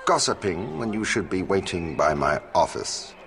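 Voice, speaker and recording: An actor with an English accent playing a cold, sneering, middle-aged wizard schoolmaster in a game, with a contemptuous drawl. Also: male voice, sits low, sing-song, normal speed, gravelly, a bit bright, a faint crowd, full character voice. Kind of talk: video game voice acting.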